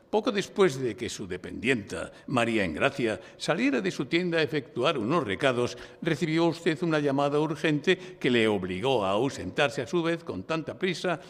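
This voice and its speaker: A man from Spain playing a shrewd, composed defense lawyer who narrates his own case deliberately in a live audio drama. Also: fairly high pitch, vocal fry. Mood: probing, formal. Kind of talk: courtroom audio drama with hardboiled first-person narration. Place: recorded live before an audience in a library. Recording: live stage recording in reverberant hall.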